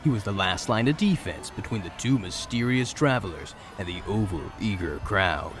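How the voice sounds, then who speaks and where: A man's voice: lowish voice, animated, moderate pace. A man narrating a fantasy story in a dramatic, scripted storytelling style. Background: soft music.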